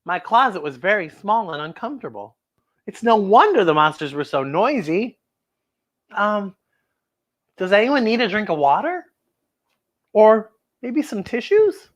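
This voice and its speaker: A woman's voice: medium pitch, very animated, a little slow, pauses often. A woman voicing a brave, determined girl in a picture-book read-aloud, speaking plainly. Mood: sympathetic. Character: brave, determined young girl monster fighter.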